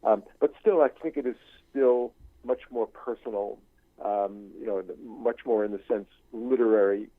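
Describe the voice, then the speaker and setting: An older man speaking as an interview guest in a reflective, conversational way, haltingly searching for his words. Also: fairly low pitch, no echo. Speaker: interview guest, novelist and screenwriting teacher. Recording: thin phone-like line.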